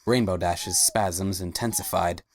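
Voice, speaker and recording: A woman's voice: very deep voice, animated, a little slow, a little mellow, a little hiss or hum. A woman reading scripted story narration as the narrator of a dramatic reading.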